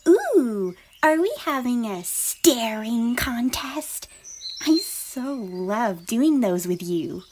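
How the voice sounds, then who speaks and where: A woman's voice: fairly high pitch, extremely slow, heavily acted. A woman voicing a bashful, giddy, affectionate young unicorn pony in an ASMR fan fiction story. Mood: excited. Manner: giggly, excitable, dropping into hushed muttering. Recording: clearly noisy, birdsong behind. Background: birdsong and outdoor ambience.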